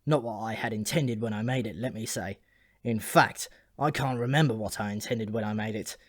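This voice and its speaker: A man's voice: on the low side, moderate pace, dry recording, studio quality, close to an everyday voice. Man playing a gloomy, grumbling ancient dwarf. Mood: confiding, warning. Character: ancient male sulphur dwarf, tipsy, dyspeptic, grudgingly friendly.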